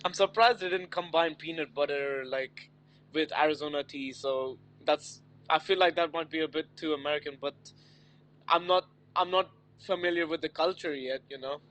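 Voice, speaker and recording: A man's voice: fairly high pitch, moderate pace, a bit bright, poor audio. A man co-hosting a racing live stream in casual chat, easygoing and teasing, laughing often between remarks. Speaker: race stream co-host.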